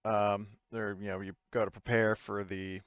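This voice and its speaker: Guy gamemastering a tabletop rpg, relaxed informal talk, smooth voice, dry kinda muffled mic. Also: on the low side, normal speed, dry recording. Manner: informal, swears casually. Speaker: game master. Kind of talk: casual tabletop game narration.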